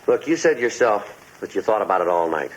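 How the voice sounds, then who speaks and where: An actor playing a calm, reasoning man who talks with clipped, matter-of-fact persuasion in a 1950s radio drama. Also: on the low side, a little fast. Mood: reassuring, earnest. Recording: dull, noisy 1950s radio recording.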